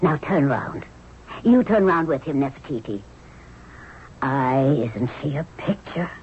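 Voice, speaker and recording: An actress playing a commanding, motherly middle-aged queen of Egypt, brisk and fussing, in a radio drama. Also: extremely low pitch, lively intonation, breathy edge, full character voice. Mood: soothing, proud. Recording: dull, noisy old radio broadcast.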